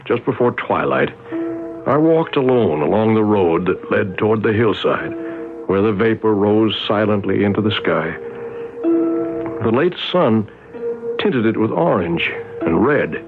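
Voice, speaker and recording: An actor playing a wary, reluctant American traveller stranded somewhere strange, in a 1970s radio drama with first-person narration. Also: male voice, lowish voice, animated, a little slow, pauses often. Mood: pensive narration. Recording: tinny vintage radio recording, music behind.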